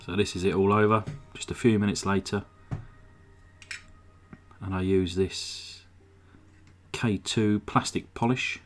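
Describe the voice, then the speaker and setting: Croaky, relaxed man narrating his workbench restoration. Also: low-pitched, unhurried, long pauses, soft background music. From England. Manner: relaxed, chatty, many "uh" fillers. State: slightly croaky, sore throat. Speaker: model car restorer. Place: home workshop. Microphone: desk microphone.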